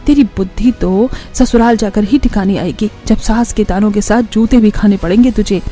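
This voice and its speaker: A woman's voice: mid-range voice, moderate pace, dark tone, soft background music, natural voice. A woman voicing a stern, weary mother who scolds her daughter reproachfully in a family cartoon. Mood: exasperated, stern. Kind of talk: Hindi family cartoon voice acting.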